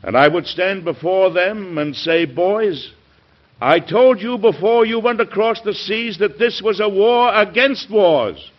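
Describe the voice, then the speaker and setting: An actor playing a weary, idealistic American President with emphatic oratory and deliberate pauses, in an old radio drama. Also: male voice, sits high, expressive. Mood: impassioned. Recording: dull, hissy old radio recording.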